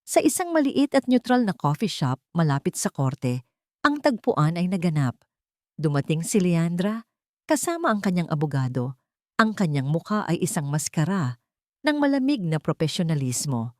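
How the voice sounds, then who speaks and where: A woman's voice: deep voice, warm tone, dry recording, clean studio sound. A woman reading a scripted first-person dramatic story as its narrator.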